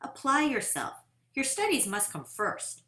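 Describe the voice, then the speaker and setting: A woman's voice: high-pitched, wildly swooping pitch, a little slow, bright, forceful tone, voice pushed up, heavily acted. A woman voicing a stern, curt merchant father commanding his son in a picture-book read-aloud. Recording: roomy, echoing sound.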